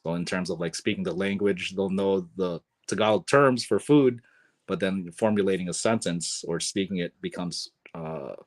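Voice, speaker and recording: A man speaking as a guest on a podcast, relaxed and chatty, leaning on lots of fillers. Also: deep voice, moderate pace. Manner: relaxed, filler-heavy, sprinkled with "you know". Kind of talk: casual conversation.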